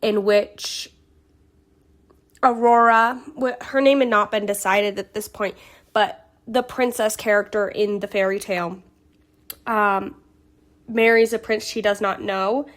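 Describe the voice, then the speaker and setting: A woman's voice: mid-range voice, fairly even intonation, a little slow, pauses often, fairly bright tone. A woman hosting her own video channel, getting over a cold, talking through film history in a chatty, earnest way with small fillers and restarts. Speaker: YouTube host. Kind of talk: casual vlog narration of film history.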